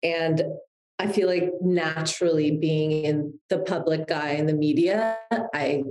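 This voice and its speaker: A woman in her thirties talks in a reflective, heartfelt way, often restarting her sentences. Her voice is mellow and sits a little low. The room around her echoes noticeably. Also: fairly even intonation, a little slow, soft background music. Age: 30s. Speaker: guest, publicist. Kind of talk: podcast interview.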